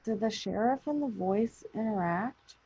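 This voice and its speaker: A woman's voice: mid-range voice, expressive, measured pace, dark tone, breathy edge. A woman chatting casually as a podcast host organizing a group. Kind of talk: casual chat. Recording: dull, dry, lo-fi audio.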